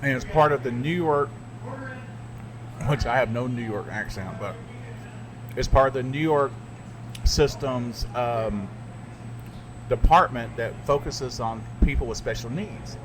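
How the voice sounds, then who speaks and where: A man's voice: medium pitch, unhurried, long pauses, noisy background. Joking man hosting a casual chat. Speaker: podcast host.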